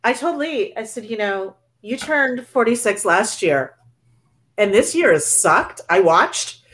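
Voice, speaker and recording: A woman's voice: a little high, lively intonation, measured pace, fairly bright tone. A woman in her forties from a Cuban family, co-hosting a casual book club chat over a video call, chatty and self-deprecating. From Cuban-American family. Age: 40s. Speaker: book club podcast co-host.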